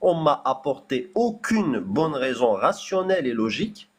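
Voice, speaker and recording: A man's voice: mid-range voice, sing-song, unhurried, slightly rough. A man speaking French as a non-native in a heated debate, hesitant and full of fillers, repeating words while insisting on finishing his point. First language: not French.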